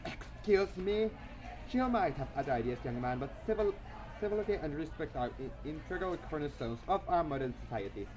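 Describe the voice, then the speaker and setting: A man's voice: fairly high pitch, animated, a little fast, natural voice, low-quality recording. A man voicing a stern, indignant female schoolteacher with a formal, scolding manner in a visual novel game. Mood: indignant outburst. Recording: music behind.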